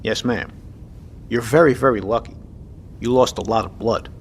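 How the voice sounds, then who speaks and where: An actor playing a decisive, gruff navy submarine captain in an audio drama, with commanding, clipped, profane delivery. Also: male voice, medium pitch, moderate pace, a little hiss or hum, natural voice. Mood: calm, firm. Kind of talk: scripted audio drama acting.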